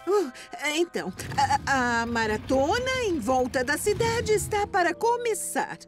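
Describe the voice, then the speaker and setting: Flustered cartoon lady motorbike, race announcer, Brazilian, animated pitch, kindly, loud music. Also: measured pace, heavily acted. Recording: music behind the voice.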